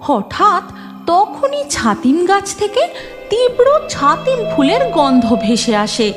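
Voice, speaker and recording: A woman narrating a storybook with expressive, sing-song storytelling. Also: extremely high pitch, slow pace. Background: background music.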